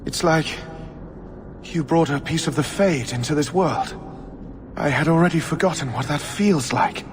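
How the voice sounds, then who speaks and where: A man's voice: a little high, moderate pace, background noise, close to an everyday voice. Man playing a subdued, broken captive mage. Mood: anguished, resigned. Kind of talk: dramatic video game voice acting. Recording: music behind the voice.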